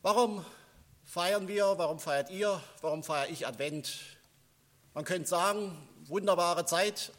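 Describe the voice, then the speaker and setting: A man's voice: high voice, takes it slowly, a bit bright. Man preaching in a reverberant church. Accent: German with a northern standard touch. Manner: conversational, personal storytelling. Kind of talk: Advent sermon.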